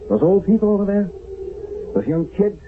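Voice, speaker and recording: An actor playing a tough, level-headed American sergeant held captive in a radio drama, plain-spoken and challenging. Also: male voice, sits high, animated, moderate pace, music in the background. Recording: muffled narrowband old radio recording, noisy.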